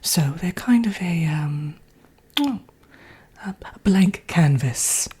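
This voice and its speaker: A woman's voice: lowish voice, lively intonation, mellow, husky, heavily acted. A woman playing a haughty whispering evil queen. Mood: haughty, instructive. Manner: haughty, wry, hesitant asides, deliberate. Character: haughty, dry evil queen villainess teaching a workshop. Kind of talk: ASMR roleplay, whispered and soft-spoken.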